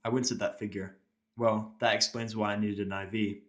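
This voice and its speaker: A man's voice: lowish voice, level tone, moderate pace, fairly bright tone, lightly acted. A man playing an irritable, sarcastic injured patient, blunt and cynical, in a first-person audio drama. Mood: wry.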